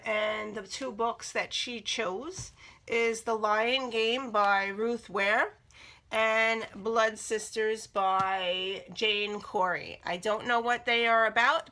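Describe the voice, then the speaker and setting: A middle-aged woman, slightly raspy from a cold, chatting casually to camera about her books and journals, rambling with many ums and restarts. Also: medium pitch, pushed and bright. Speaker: book vlogger. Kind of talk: casual chat showing items to camera.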